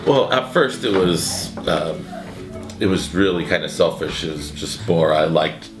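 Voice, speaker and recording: A man in his forties, seated indoors by a window, answering interview questions in a halting way, full of restarts and uhs. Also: low-pitched, expressive, echoey room. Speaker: event co-founder. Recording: music bed underneath.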